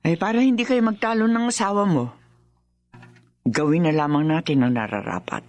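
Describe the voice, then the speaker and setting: A woman's voice: low-pitched, moderate pace, darkish tone, soft background music, close to an everyday voice. An actress playing a middle-aged, concerned mother in a Filipino horror radio drama, earnest and respectful, with a Filipino accent. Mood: soothing, reasoning. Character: concerned, respectful mother of a grown married daughter.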